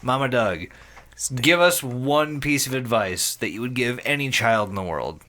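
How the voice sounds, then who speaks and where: A podcast co-host chatting casually and jokingly in a group, effusive and quick to swear. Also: mid-range voice, expressive, bright, forceful tone. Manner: profane, effusive.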